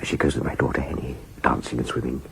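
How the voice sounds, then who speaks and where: An actor playing a genial, fawning middle-aged German photographer in a radio drama, chummy and ingratiating. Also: male voice, extremely low pitch, level tone, quick pace, vocal fry, fairly bright tone, slight background noise, full character voice. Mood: admiring.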